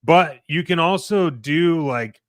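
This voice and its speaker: A man co-hosting a podcast, talking casually and enthusiastically in a halting, colloquial way. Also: a little high, no echo. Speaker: podcast co-host discussing collectible toys. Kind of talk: casual unscripted chat.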